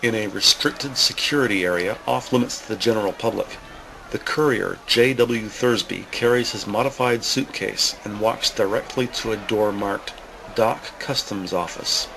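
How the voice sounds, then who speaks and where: Low, creaky male drama narrator. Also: normal speed, pushed and bright, slight background noise, rough recording.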